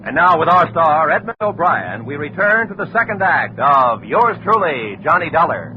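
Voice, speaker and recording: Man, old-time radio announcer, lively and high-ish, tinny hissy sound. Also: normal speed, over loud music. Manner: bright, lively announcer delivery.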